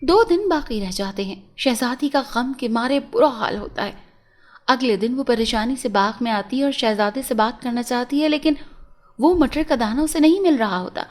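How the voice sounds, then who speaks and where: A woman's voice: a little high, lively intonation, moderate pace. A woman reading a scripted fairy tale as a storyteller.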